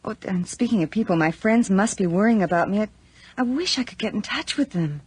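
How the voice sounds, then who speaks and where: A woman's voice: mid-range voice, expressive, brisk, a little mellow, husky. An actress playing a chatty, nervous young city-girl student with a hesitant, rambling manner in a horror radio drama. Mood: friendly, chatty. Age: young adult. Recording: noisy radio drama recording with clicks.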